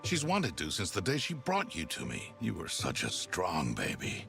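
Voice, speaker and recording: An actor playing a fatherly older mentor and storyteller in an animated game, dry and playfully dramatic. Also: deep voice, moderate pace, vocal fry, soft background music, full character voice. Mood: teasing drama. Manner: dry, theatrical. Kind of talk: animated game dialogue and narration.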